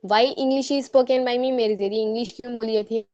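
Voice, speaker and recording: A female student reciting English passive-voice drills with Hindi translations, slow in English. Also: a little high, fairly even intonation, mellow, no room sound, highs cut off.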